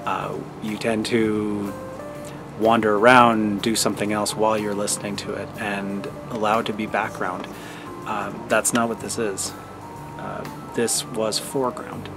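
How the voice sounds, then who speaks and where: A middle-aged man giving a thoughtful, halting personal monologue as a vlogger, in a measured way. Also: on the low side, little pitch movement, music in the background. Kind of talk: personal reflective monologue to camera.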